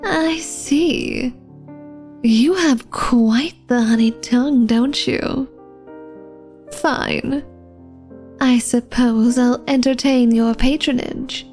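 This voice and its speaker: Slow, seductive vampire hostess played by a woman. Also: on the high side, a little mellow, faint music behind. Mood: coy, flirtatious. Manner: formal, genteel, coy, teasing speech addressed to the listener. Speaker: ASMR roleplay voice actor. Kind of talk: ASMR roleplay acting, flirtatious.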